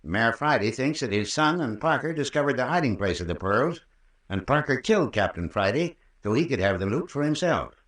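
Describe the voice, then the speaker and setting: An actor playing a folksy, patient, long-winded country doctor with a rural American accent in an old-time radio drama. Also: male voice, medium pitch, big pitch swings, a bit bright. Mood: patient recounting. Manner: folksy, unhurried, patient storytelling. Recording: roomy, distant old radio recording.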